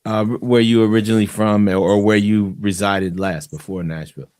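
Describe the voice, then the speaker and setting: A man's voice: lowish voice, fairly even intonation, moderate pace, darkish tone. A man hosting a call-in chat show, asking the guest easygoing, curious questions. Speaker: host.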